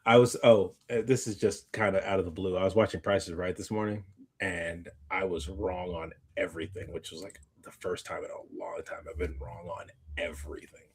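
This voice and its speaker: A man's voice: deep voice, fairly even intonation, a little fast, slightly rough. A tired man hosting a podcast, chatting casually in a rambling way and often backtracking mid-sentence. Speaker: podcast host. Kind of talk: casual chat.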